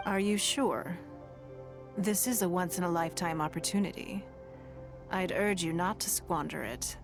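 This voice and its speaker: A woman playing a poised, low-voiced noblewoman. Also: over music, natural voice. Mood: composed. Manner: measured, formal, composed. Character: poised, calculating noblewoman businesswoman, adult. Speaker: wealthy city leader. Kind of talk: video game voice acting.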